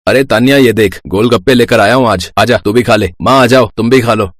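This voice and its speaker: A man's voice: medium pitch, a little fast, no room sound, lightly acted. A man playing an affectionate, casual young man in a family story. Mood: cheerful. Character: young man, affectionate apologetic boyfriend or brother.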